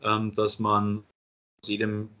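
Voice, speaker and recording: A paramedic lecturer with an Austrian accent presenting a webinar, pausing often with fillers. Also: male voice, on the low side, completely flat delivery, sharp tone. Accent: Austrian German. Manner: halting, many filler sounds. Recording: dull lo-fi webinar audio.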